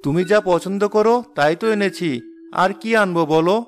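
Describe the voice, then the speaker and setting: A man's voice: high-pitched, brisk, natural voice. A man playing a busy, impatient rich husband in a cartoon fairy tale, lecturing and dismissive. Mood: coaxing. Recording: music behind the voice.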